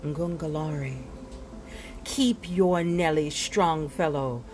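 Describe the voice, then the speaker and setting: A woman reading a nonfiction book aloud in a dramatic, deliberate way, leaning on words and leaving emphatic pauses. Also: sits low, darkish tone. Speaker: book reader.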